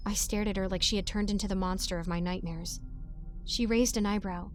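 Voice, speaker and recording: A woman's voice: medium pitch, fairly even intonation, a little fast, soft background music. A woman reading a scripted first-person horror story as its narrator, in a dramatic style.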